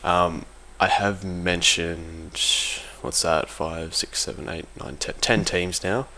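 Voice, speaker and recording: A man's voice: low voice, steady tone, slow pace, pushed and bright, slight background noise. A man giving casual, unscripted commentary on competitive gaming in a hesitant, informal way, often correcting himself. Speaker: competitive gaming team member giving an audio log.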